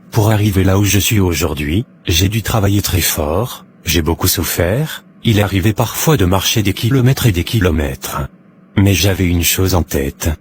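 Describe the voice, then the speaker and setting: An actor playing an earnest, self-righteous businessman running for parliament in an animated drama, speaking formally in speeches. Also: deep voice, slight background noise. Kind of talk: animated drama dialogue, political interview answers.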